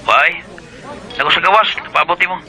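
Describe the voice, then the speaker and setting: An actor playing a young, controlling Bisaya husband in a Filipino radio drama. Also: male voice, fairly high pitch, normal speed, pushed and bright, poor audio. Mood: jealous, suspicious.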